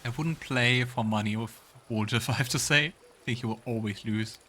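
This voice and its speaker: A man's voice: mid-range voice, moderate pace, pushed and bright, slight background noise. A man hosting a let's play, mixing casual commentary with narration of the game's text. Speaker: let's play host reading game dialogue.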